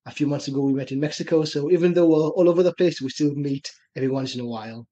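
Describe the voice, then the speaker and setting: A young man in his twenties from Israel, with an Israeli accent, telling his story in a relaxed way as a podcast guest. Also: fairly high pitch, fast talker. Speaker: guest, personal trainer. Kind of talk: casual podcast interview. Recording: dull lo-fi remote audio.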